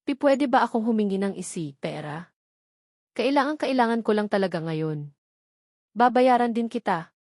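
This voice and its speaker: A woman's voice: medium pitch, expressive, normal speed, pauses often, darkish tone, dry recording, studio quality. A woman with a Filipino accent voicing a devoted, anxious young mother in a narrated story.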